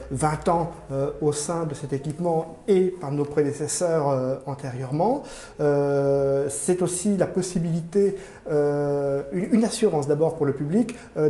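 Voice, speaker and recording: A man giving formal, measured explanations in an interview as a museum curator, often pausing mid-phrase as he searches for words. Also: a little high, mellow. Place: indoor room in a museum. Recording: roomy, echoing indoor sound.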